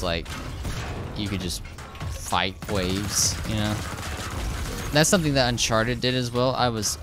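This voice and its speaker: A young man, slightly hoarse, casually commenting over his gameplay, rambling with lots of fillers and restarts. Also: on the low side. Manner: rambling, hesitant, frequent filler words and restarts. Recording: game gunfire loud behind voice. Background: shooter game sounds and gunfire.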